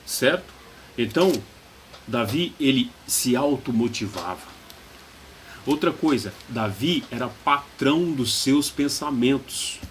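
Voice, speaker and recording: A man's voice: mid-range voice, a little hiss or hum. A man with a Brazilian accent preaching motivationally as a pastor and coach, emphatic, repeating key phrases and asking rhetorical questions.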